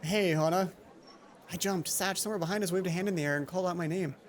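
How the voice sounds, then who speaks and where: A woman let's play host reading a visual novel aloud in an expressive storytelling way, with casual asides. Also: on the low side, very fast. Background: game music.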